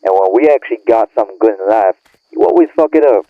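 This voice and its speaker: A man's voice: on the low side, lively intonation, quick pace, dry recording. A man acting a bitter, irritated young friend in an audio roleplay, scolding in profane slang through a phone-like filter. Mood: angry, blaming. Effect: phone or radio filter. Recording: narrow tinny phone-like band.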